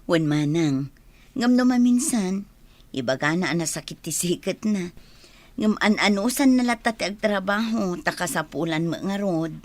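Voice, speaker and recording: Low-pitched, polite Ilocano village schoolteacher, acted by a woman. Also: expressive, moderate pace, a little mellow, no room sound, lightly acted. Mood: apologetic. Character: polite village schoolteacher wife, apologetic and considerate. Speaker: radio drama actor. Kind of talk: Ilocano radio comedy drama acting.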